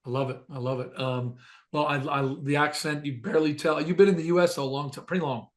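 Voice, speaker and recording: A man's voice: fairly high pitch, fairly even intonation. A man hosting an interview, upbeat and affirming toward his guest. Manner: upbeat, affirming, quick to laugh. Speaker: interview host.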